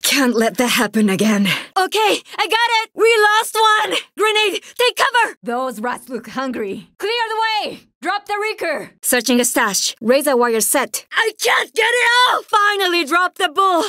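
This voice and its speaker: A woman's voice: very high-pitched, big pitch swings, unhurried, hardly any pauses, pushed and bright, no room sound, studio quality, exaggerated voice. A woman playing a tough young zombie-apocalypse survivor in a video game, calling out clipped, urgent combat lines. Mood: urgent, focused, then frantic.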